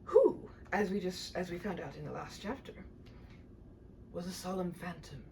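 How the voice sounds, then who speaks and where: A woman hosting a read-aloud, moving between playful chat and dramatic, animated storybook narration. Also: lowish voice, normal speed, creaky, slight background noise. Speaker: channel host reading a classic aloud. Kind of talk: chatty host talk and expressive storybook narration.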